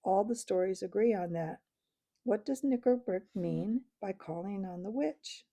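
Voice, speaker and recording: A fussy old male scholar, as a woman reads him, urgently reasoning with the room. The voice is gravelly and mellow. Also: mid-range voice, unhurried.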